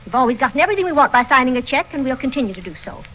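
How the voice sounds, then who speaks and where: A woman's voice: high-pitched, sing-song, racing, a bit bright. A woman acting in an old radio drama as a jaded, flippant rich woman, blunt and edgy in manner. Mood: defiant. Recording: noisy old radio recording.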